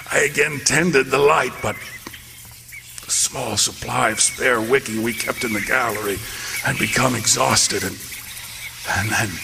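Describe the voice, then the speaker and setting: A man playing a gravelly, haunted lighthouse keeper. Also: mid-range voice, in a large hall, noisy background. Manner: dramatic storytelling, dropping to hushed tones. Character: haunted male lighthouse keeper recounting ordeal. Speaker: narrator and lead actor. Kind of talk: live radio theatre.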